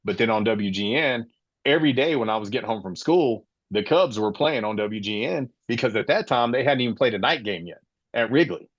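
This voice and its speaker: A man's voice: medium pitch, lively intonation, fairly quick, breathy edge, no echo, dull audio. A man in his late forties from Florida, hosting a casual sports podcast and telling his own story with passion. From Florida, USA. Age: 40s. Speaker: podcast host.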